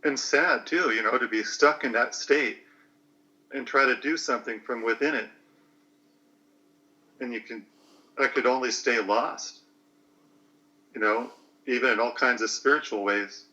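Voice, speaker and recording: A man in his late forties talking slowly through a personal reflection, hesitant and searching for words. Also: mid-range voice, bright, forceful tone, dull audio. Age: 40s.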